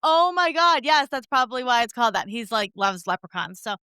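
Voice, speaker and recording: A woman's voice: fairly high pitch, sing-song, fairly quick, bright, forceful tone, smooth, no room sound, clean studio sound. A middle-aged woman hosting a podcast, interviewing in an effusive, informal, conversational way. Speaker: podcast host.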